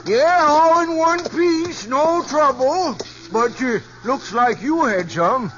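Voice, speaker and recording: A man acting a folksy, unhurried old cowhand sidekick in a 1950s radio western. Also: very high-pitched, fairly bright tone, exaggerated voice. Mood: curious, dry. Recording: dull, faintly noisy 1950s radio recording.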